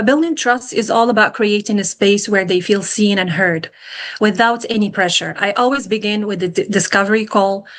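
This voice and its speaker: Moroccan-born woman, earnest reflective podcast guest, mid-pitch, trips over words and restarts. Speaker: guest life coach. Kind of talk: podcast interview.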